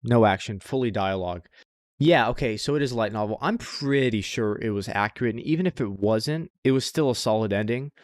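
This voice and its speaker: A man's voice: on the low side, fairly quick, dry recording, clean studio sound. A man hosting a podcast, talking casually and informally in a rambling way, with some casual swearing. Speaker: anime podcast host. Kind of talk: casual podcast chat, reviewing shows.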